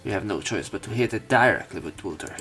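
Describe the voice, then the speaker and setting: Deep voiced guy, bit of fry, reading out a visual novel all dramatic. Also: expressive, fast talker, fairly bright tone, faint music behind. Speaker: let's play narrator and commentator. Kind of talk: dramatic read-aloud of game text.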